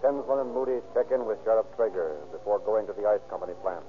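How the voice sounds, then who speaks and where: Man as brisk old-time radio crime narrator. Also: fairly low pitch, slight background noise, close to an everyday voice. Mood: formal. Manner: formal, factual. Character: formal male crime-story narrator. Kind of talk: scripted 1930s radio narration. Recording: tinny old radio recording, music behind. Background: music.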